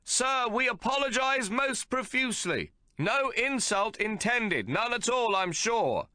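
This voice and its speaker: A man's voice: on the high side, expressive, moderate pace, sharp tone, no echo, clean studio sound, voice pushed up. A man voicing a placid, conservative middle-aged son who pleads and apologizes. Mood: apologetic.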